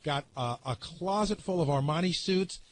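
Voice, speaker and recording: A man's voice: fairly high pitch, moderate pace, a little hiss or hum. A man in a heated, casual radio panel discussion, arguing bluntly and with some profanity. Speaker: radio show staff panelist.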